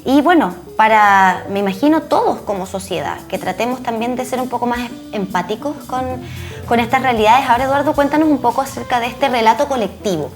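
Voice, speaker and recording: A Chilean woman speaks as a television host, conversational and addressing her guests. Her voice sits a little high for a woman, and music plays faintly behind her. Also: a little fast. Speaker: TV show host.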